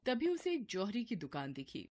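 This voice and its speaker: A woman's voice: mid-range voice, huge pitch swings, moderate pace, mellow. A woman narrating a scripted moral story as a storyteller. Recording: faint music behind the voice.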